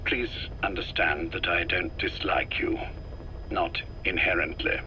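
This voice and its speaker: Casting: older, sickly spy chief for a game. Coolly polite yet threatening, deliberate, bright forceful tone, faintly noisy recording. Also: male voice, deep voice, fairly even intonation, heavily acted. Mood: cool, controlled. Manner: measured, deliberate, politely menacing. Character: ailing, calculating older male intelligence chief, calm and controlling. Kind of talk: scripted game dialogue, dramatic acting.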